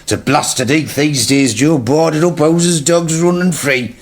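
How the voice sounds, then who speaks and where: A man's voice: on the high side, unhurried, close to an everyday voice. An actor playing a blunt, joshing old man. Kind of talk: radio drama acting.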